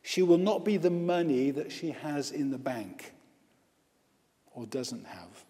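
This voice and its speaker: A male priest and hospital chaplain preaching a baptism sermon, slow and emphatic, with repeated lists. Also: on the high side, lively intonation, warm tone. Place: church with long reverb. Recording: reverberant church hall.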